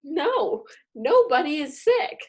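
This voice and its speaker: A woman's voice: high-pitched, sing-song, slow, pitched above the performer's own voice, exaggerated voice. A woman playing a sassy, blunt, street-smart best friend in a comedy radio play. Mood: sassy, skeptical.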